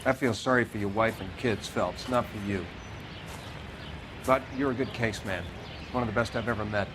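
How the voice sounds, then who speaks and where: An actor playing a wry, articulate, matter-of-fact coroner in a crime video game. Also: male voice, fairly low pitch, normal speed, noisy background, natural voice. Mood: reproachful, candid. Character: dry, candid male coroner, loyal and wry. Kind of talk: dramatic game cutscene acting.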